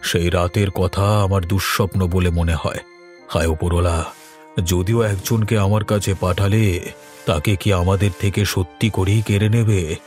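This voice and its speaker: A man acts a worried middle-aged village father in a horror cartoon. His voice sits low and sounds grave and earnest. Soft music runs behind it. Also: steady tone, a little slow. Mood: despairing.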